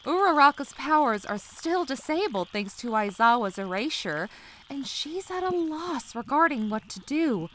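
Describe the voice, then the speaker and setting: A woman's voice: sits high, very animated, fairly bright tone, a little hiss or hum, rough recording. A woman giving a scripted, dramatic story narration for a comic dub. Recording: faint bubbling mud ambience behind voice. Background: gooey quicksand sound effects.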